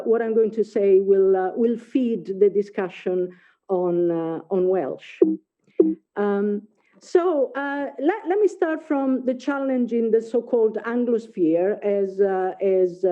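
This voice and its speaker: A middle-aged woman from Italy with an Italian accent, a native Italian speaker giving an academic talk over a video call, hesitant with many fillers and restarts. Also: medium pitch. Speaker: invited speaker, linguist. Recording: phone-like video call audio.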